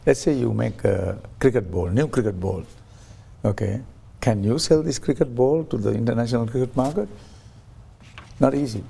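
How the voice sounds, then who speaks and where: A man's voice: mid-range voice, moderate pace, creaky, pauses often, dark tone, husky. A man interviewed as a guest on a talk show, explaining his ideas through stories and acting out quoted voices, with frequent restarts. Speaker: guest, science ambassador.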